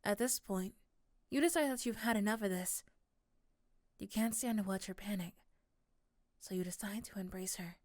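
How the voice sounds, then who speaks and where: A woman's voice: mid-range voice, normal speed, pauses often, a little mellow, dry recording, clean studio sound. A woman reading scripted second-person narration for an ASMR roleplay story.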